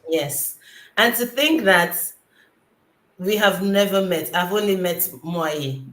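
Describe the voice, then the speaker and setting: A woman's voice: lowish voice, slow pace, fairly bright tone, echoey room. A woman from Nigeria hosting a live online chat over a video call, upbeat and welcoming. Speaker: host.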